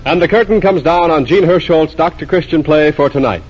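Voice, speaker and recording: A man's voice: a little high, a little fast, over loud music. A man announcing in a formal, emphatic, scripted 1940s radio style. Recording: muffled old radio broadcast.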